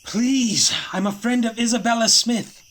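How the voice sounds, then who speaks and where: An actor playing a plucky, earnest young man on the run in an audio play, with a slightly husky voice. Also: very high voice, measured pace, background noise. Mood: urgent.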